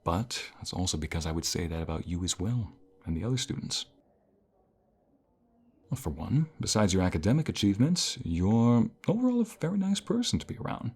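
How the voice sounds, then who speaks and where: A man playing a caring, encouraging college professor in an intimate ASMR role play, speaking softly in a gentle, unhurried, reassuring way. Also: on the low side, sing-song, breathy edge, full character voice. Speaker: voice actor in an audio role play.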